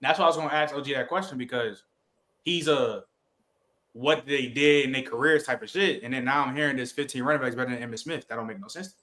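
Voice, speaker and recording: A man's voice: medium pitch, fast, sharp tone. A man on a casual sports podcast who keeps cutting in to press his own question.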